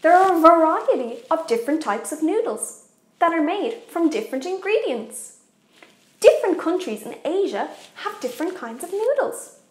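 A young woman hosting a kids' cooking show, presenting facts in an animated, deliberate way. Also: extremely high pitch. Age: young adult. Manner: animated, expressive, deliberate for kids. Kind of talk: children's educational presenting. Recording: echoey room.

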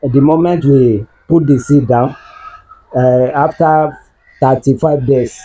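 A middle-aged man with a Nigerian accent, patiently explaining farm work outdoors with halting speech and many fillers. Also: mid-range voice, fairly even intonation, mellow, husky. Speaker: farm worker. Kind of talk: explaining farm practice on a walking tour. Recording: dull lo-fi sound.

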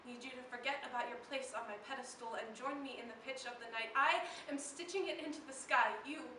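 A young woman performing slam poetry in a dramatic, deliberate way, her delivery moving between hushed and intense. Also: sits high. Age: teenager or young adult. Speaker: slam poet. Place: live poetry slam in a room. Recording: echoey room, distant mic, faint noise.

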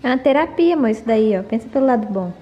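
A young Brazilian woman with a fairly high voice talks casually to her viewers as a vlogger. She records at home on a handheld camera, with faint noise in the background. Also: lively intonation, rapid-fire, muffled recording. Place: at home, covered garage and kitchen.

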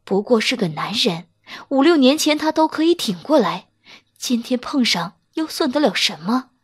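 A woman's voice: a little high, sing-song, normal speed, slightly rough. A woman reading a romance novel as a dramatic audiobook narrator.

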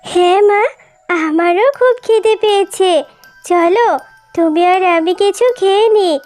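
An innocent little girl fox from a children's cartoon. The voice is extremely high, childlike and earnest. Gentle music plays underneath. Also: measured pace. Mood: grateful, eager. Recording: music behind the voice.

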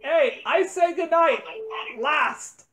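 High-voiced complaining woman. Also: slow pace, a bit bright. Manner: complaining, blunt. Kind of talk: casual bickering in a home-made prank series.

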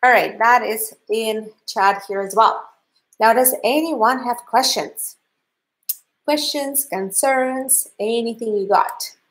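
A woman's voice: fairly high pitch, slow, fairly bright tone, low-quality recording. A woman in her thirties with a Russian accent, chatting in a friendly, upbeat way as she wraps up a livestream painting class from a home studio. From Canada. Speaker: painting instructor. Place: home art studio, indoors.

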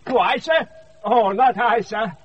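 A man's voice: very high voice, a little slow, slight background noise. An actor, a man, playing a wry court fool in a radio Shakespeare play, teasing with mock sympathy. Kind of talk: 1980s British radio Shakespeare acting. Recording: muffled off-air radio recording.

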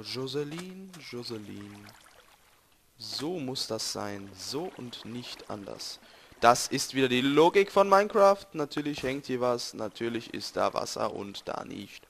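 A young man, a bit hoarse, rambling and joking to viewers while commentating his gameplay. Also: medium pitch, very animated, slow pace, a bit bright, slight background noise. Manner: joking, rambling, self-correcting, talks to viewers. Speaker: let's play gamer.

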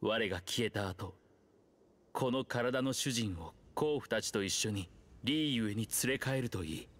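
An actor voicing an ancient male earth dragon speaking through a man's body, solemn, archaic and measured. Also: on the low side, a bit bright. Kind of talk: Japanese game dub.

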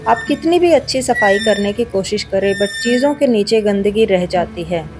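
A woman with an Indian accent giving a calm, step-by-step voice-over for a home cleaning video. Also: medium pitch, normal speed. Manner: explains each step in a steady how-to tone. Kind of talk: calm scripted voice-over narration. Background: background music.

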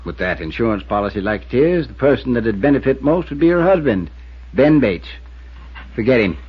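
An actor playing a stubborn, laconic small-town constable with a rural American accent and folksy, matter-of-fact manner in a 1950s radio drama. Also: male voice, medium pitch, lively intonation, brisk, slightly rough. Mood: stubborn, dry. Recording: muffled 1950s radio with faint noise.

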